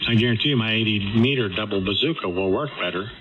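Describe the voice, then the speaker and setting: Male ham, brisk and joking, dull radio signal with faint hiss. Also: on the low side. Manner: quick, joking, demonstrating gear. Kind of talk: casual on-air chat.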